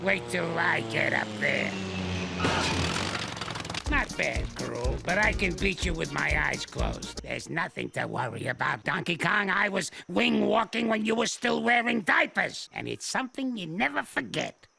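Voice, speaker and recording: A cranky old grandpa ape from a TV cartoon, boasting about his glory days and heaping insults on the young ones. His voice is high and gravelly and it leaps all over the place, while he drags out his words. Cartoon music plays clearly behind him. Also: very animated, measured pace, full character voice. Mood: boastful. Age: older. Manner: grumpy, boastful, hurls colorful insults. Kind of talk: 1990s animated TV series acting.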